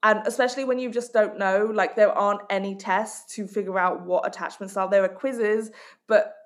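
A woman from Hong Kong speaks as a life coach and author in a podcast interview. She talks directly and with emphasis, reassuring rather than pushing. Her voice sits at an ordinary middle pitch for a woman. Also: fairly even intonation, fairly quick. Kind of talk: conversational interview answers.

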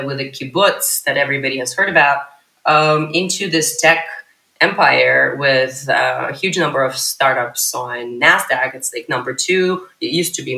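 A woman in her thirties talks animatedly as a podcast guest, winding through long sentences with plenty of fillers. Her voice is bright and sharp. It rings in a noticeably echoey room. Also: extremely low pitch. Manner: animated, rambling, many fillers and restarts. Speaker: startup CEO guest. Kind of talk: casual podcast interview.

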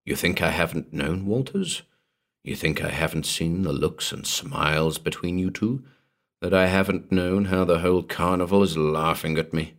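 A man voicing a softly menacing, vengeful killer in a gentle, low, husky hush for a dramatic story. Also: very animated, exaggerated voice. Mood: quiet taunting. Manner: slow, gentle, taunting. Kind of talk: dramatic audiobook character reading.

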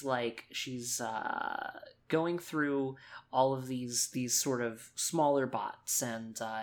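A man's voice: medium pitch, fairly bright tone. A man improvising narration for his character in a tabletop roleplaying game, hesitant and loosely worded as he thinks aloud.

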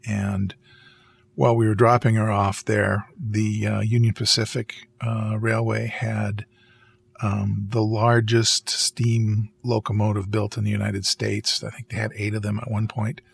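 A man chatting casually on a podcast in an easygoing way, pausing mid-sentence to rephrase. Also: lowish voice, little pitch movement, gravelly, husky. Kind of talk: casual conversational podcast chat.

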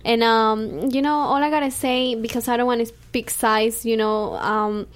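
A woman's voice: fairly high pitch, steady tone, moderate pace. Venezuelan-accented young woman, chatty guest. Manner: chatty, lots of fillers like um and you know. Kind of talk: casual radio interview chat.

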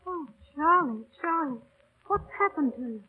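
An actress in an old radio drama playing a bossy but warm-hearted young woman of the theatre, scolding and coaxing in urgent, emotional, colloquial speech. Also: high-pitched, animated, unhurried. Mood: shocked, tender. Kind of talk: 1930s-40s radio drama acting. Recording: old radio broadcast, phone-like narrow band.

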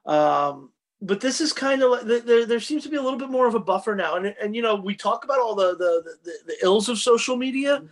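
A man co-hosting a podcast, talking casually about screen entertainment in a rambling, halting way that often circles back on itself. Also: sits high, expressive. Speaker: podcast co-host. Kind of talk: casual panel chat about TV and film.

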